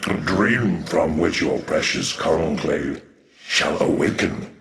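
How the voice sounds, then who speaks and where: Very deep, echoing ancient alien warrior. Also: male voice, slow, a crowd in the background, full character voice. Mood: scornful, ominous. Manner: solemn, portentous, archaic grand speech. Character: ancient alien dark templar warrior, grave and imperious. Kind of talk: theatrical video game acting. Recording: roomy echo on the voice.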